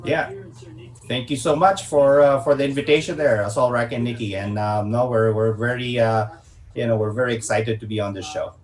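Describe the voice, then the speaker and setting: A man with an average-pitched voice speaks as an interview guest. His delivery is conversational, and the room around him is very echoey. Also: steady tone, a little fast. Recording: echoey room on online call.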